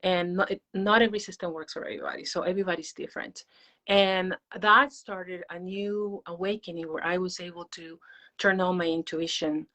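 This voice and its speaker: A woman's voice: lowish voice, steady tone, fairly quick, bright, forceful tone, low-quality recording. A woman from Peru with a Peruvian Spanish accent, answering reflectively as a conversational interview guest. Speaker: interview guest, meditation teacher.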